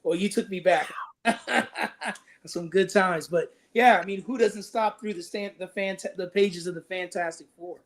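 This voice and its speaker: A man's voice: high voice, measured pace. A man speaking as a panelist on a talk stream, chatting casually. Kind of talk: casual chat and reminiscing.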